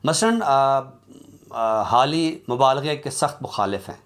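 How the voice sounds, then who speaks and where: A man giving an academic lecture in a deliberate, explanatory way, with halting pauses. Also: mid-range voice, lively intonation. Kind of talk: academic lecture on literary criticism.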